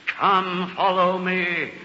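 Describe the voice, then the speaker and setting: An actor playing a solemn, commanding ghost in a 1940s radio drama, with a grave, drawn-out delivery. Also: male voice, sits high, level tone, heavy background noise. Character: solemn, commanding male ghost showing the past. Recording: dull old radio, long reverb, faint music.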